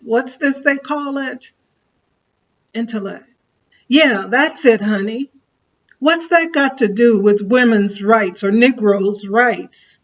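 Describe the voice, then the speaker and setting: A woman's voice: a little high, dull audio. A woman performing an older woman orator, bold and plainspoken, addressing a crowd in a preacherly, folksy way with a repeated refrain.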